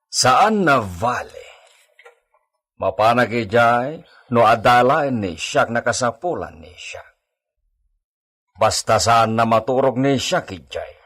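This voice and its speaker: Actor as a husky, imperious Ilocano master of the house. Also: male voice, mid-range voice, expressive, slow, long pauses, fairly bright tone. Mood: commanding. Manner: commanding, formal, curt. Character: stern, imperious master of a villa, Señor Esteban. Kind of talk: radio drama acting.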